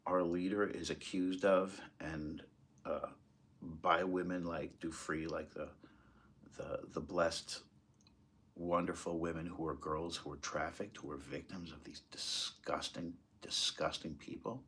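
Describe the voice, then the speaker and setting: An American man giving impassioned, off-the-cuff commentary in a halting, emphatic way. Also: low-pitched, fairly even intonation, fairly bright tone, slight background noise. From United States, moved there as a small child. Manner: halting, emphatic, rhetorical questions. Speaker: political commentator.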